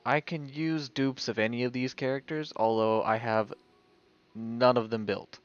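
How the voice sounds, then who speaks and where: A man's voice: mid-range voice, steady tone, unhurried, highs cut off. Man thinking aloud on a game stream. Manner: thinks aloud, talking to himself. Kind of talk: casual live gaming commentary. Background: video game music.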